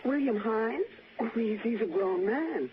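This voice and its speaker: A woman's voice: fairly high pitch, expressive, a little slow. An actress playing an anguished, proud, indignant mother in 1960s radio drama, clipped and faltering as she presses her case. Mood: baffled, uneasy. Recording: dull, noisy 1960s radio recording.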